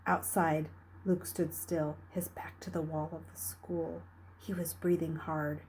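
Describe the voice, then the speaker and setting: A woman reading a novel aloud as a storyteller, with dramatic, expressive delivery. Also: sits low, measured pace, a little hiss or hum, low-quality recording. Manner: dramatic, expressive, with hushed dips and emphatic rises. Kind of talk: read-aloud storytelling narration.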